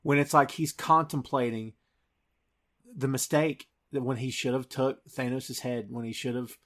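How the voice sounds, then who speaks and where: A man's voice: medium pitch, steady tone, moderate pace, fairly bright tone. A man hosting a podcast, talking through a film scene by scene in an emphatic, dramatic storytelling way. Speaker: podcast host.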